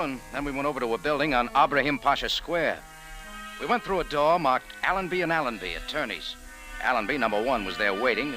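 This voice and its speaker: A man's voice: mid-range voice, sharp tone, low-quality recording. A man with an American accent playing a tough, sardonic café owner and sleuth in an old-time radio drama, clipped and hard-boiled. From United States. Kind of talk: old-time radio detective narration and acting. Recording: old radio drama, faint music behind.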